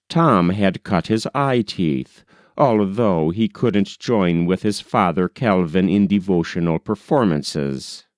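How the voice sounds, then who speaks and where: Slow, expressive male audiobook reader. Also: lowish voice, no echo, clean studio sound. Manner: expressive, measured storytelling. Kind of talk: audiobook narration.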